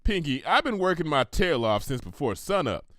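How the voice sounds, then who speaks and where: A weary cartoon farm mare, acted out by a man who talks in a folksy, wry, plain-spoken way. The delivery is very animated. The sound is dry and close. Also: fairly high pitch, moderate pace, dry recording, pitched below the performer's own voice. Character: tired, folksy adult female farm pony, plain-spoken.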